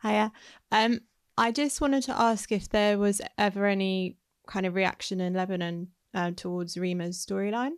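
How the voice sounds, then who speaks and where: A woman's voice: medium pitch, fairly even intonation, normal speed, clean tone, no echo, studio quality. A woman in the audience politely asking a short question.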